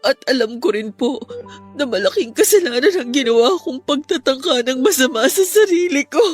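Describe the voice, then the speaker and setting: An actress playing a guilt-ridden young daughter in a Filipino radio drama, speaking in a tearful, pleading way. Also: female voice, sits high, animated, normal speed, husky. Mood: remorseful. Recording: music bed behind the voice.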